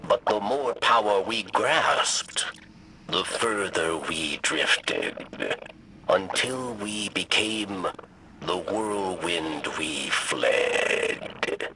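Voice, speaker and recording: An actor voicing a weary, mournful old alien in a video game, speaking gravelly, halting, broken phrases. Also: male voice, deep voice, lively intonation, pushed and bright, slight background noise, full character voice, low-quality recording. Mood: mournful, reflective. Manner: halting third-person speech in broken phrases. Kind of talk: scripted dramatic monologue for a video game.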